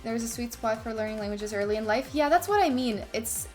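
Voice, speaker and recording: A woman's voice: a little high, lively intonation, fairly quick, a little mellow, faint music behind. A young woman from Ukraine chatting casually on a stream, often restarting sentences and slipping in asides to herself. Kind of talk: casual chat while playing chess.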